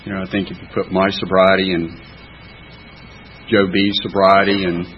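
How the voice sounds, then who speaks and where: Deep-voiced Southern man, fifties, halting speech, dull lo-fi recording, faint noise. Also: flat delivery. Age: 50s. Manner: halting, many fillers and restarts. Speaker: AA speaker. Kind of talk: personal recovery talk.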